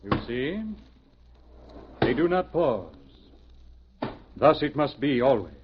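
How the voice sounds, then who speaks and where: An actor playing a stern, measured man of authority in a 1950s radio drama, part solemn guide and part police lieutenant. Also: medium pitch, sing-song. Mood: solemn, ominous. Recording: muffled, noisy vintage radio recording.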